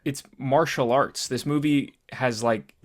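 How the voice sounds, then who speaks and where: A man co-hosting a podcast in casual chat, halting and repeating words as he thinks aloud. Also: mid-range voice, fairly even intonation. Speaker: podcast co-host. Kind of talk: casual movie discussion.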